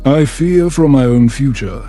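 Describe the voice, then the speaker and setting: Quiet, slow young noblewoman, read by a man. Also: mid-range voice, soft background music, close to an everyday voice. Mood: anxious. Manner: quiet, careful. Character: anxious but dignified young highborn lady.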